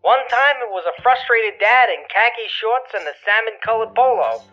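A man playing a wry, crude young storyteller with deadpan delivery in an audio drama. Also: high-pitched, moderate pace. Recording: muffled lo-fi, music behind.

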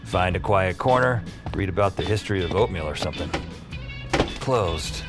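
A man's voice: deep voice, lively intonation, moderate pace, over music. A man acting a rattled, sardonic everyman who narrates his own weird adventures in an audio drama. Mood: upbeat, wry. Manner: sardonic, self-talking, exclamatory.

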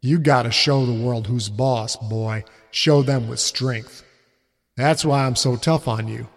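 A man voicing a harsh, abusive father who lectures in a gruff, contemptuous way. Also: mid-range voice, takes it slowly, in a large hall.

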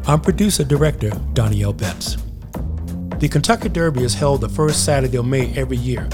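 A man hosting a podcast, giving a measured, informative scripted introduction. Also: mid-range voice, expressive. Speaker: podcast host and producer. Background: music.

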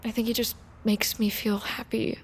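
A woman's voice: on the high side, flat delivery, moderate pace, breathy edge. A woman in an audio drama playing a guarded, awkward, grieving teenage girl with a hesitant, earnest manner. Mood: defensive, upset. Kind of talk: naturalistic audio drama acting.

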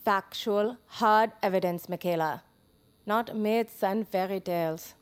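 A woman acting a composed, doubting government interrogator in an audio drama, deliberate, controlled and precise. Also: mid-range voice, clean tone, close to an everyday voice. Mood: doubting, firm.

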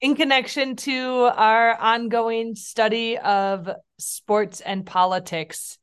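A woman co-hosts a community radio program in a relaxed, conversational way. Her voice is smooth and clear, and she laughs easily and fills pauses with ums as she works through her ideas. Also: a little high. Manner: chatty, laughs often, thinks aloud with ums. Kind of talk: casual radio chat.

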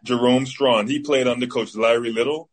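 A man's voice: medium pitch, fairly even intonation, moderate pace. Bahamian man, twenties, interview guest, casual delivery, mid-range voice. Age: 20s. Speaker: interview guest, football player.

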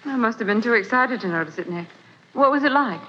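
A woman's voice: on the high side, expressive, fast talker, sharp tone. Spunky assistant girl, eager and chatty, old radio play with hiss. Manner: eager, spirited questioning. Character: plucky young woman, detective's assistant. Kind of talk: 1940s radio drama acting. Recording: dull, hissy 1940s radio broadcast.

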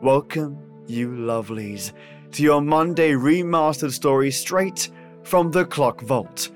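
Male podcast host: slow, chummy, theatrical scripted intro over light music. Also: fairly high pitch.

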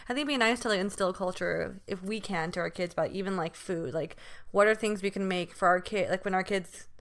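A woman's voice: medium pitch, a little fast. A young woman chatting casually among friends as a podcast co-host, often dropping in "like" and "you know". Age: young adult.

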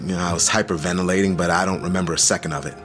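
An actor playing a reserved, candid trauma survivor in naturalistic, slightly hesitant audio drama dialogue. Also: male voice, low voice, steady tone, very fast, over music, close to an everyday voice. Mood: confiding, troubled. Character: reserved male trauma survivor working in game design.

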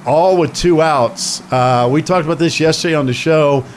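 A man's voice: a little high, normal speed, a little hiss or hum. Chatty man hosting sports talk radio. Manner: chatty, emphatic, repeats himself.

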